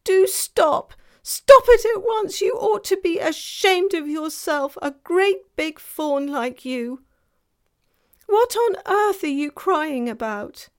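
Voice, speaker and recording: A woman's voice: expressive, takes it slowly, a little mellow, full character voice. A woman doing a frightened, pleading young girl. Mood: scolding. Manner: high, pleading, distressed.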